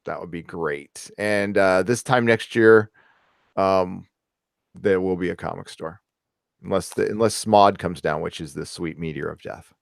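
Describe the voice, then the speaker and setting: A man in his forties with a lowish voice hosts a casual podcast, laughing often and swearing. The sound is dry and close, with no room around it. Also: unhurried, vocal fry, no room sound. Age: 40s. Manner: laughs often, loose and profane. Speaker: podcast host. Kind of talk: casual chat.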